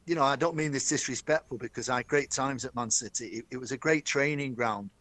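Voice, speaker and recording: A man's voice: medium pitch, brisk, fairly bright tone, dry recording. A middle-aged man with a Manchester accent, telling stories from his career as a guest in a chatty, enthusiastic interview. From Manchester, England. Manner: chatty, enthusiastic, addresses host by name. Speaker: guest, stadium announcer. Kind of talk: storytelling interview.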